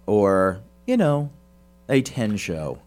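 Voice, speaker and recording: A man's voice: lowish voice, slow pace. A priest hosting a casual film podcast, his voice scratchy from a cold, joking and reacting freely. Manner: jokey, lively reactions.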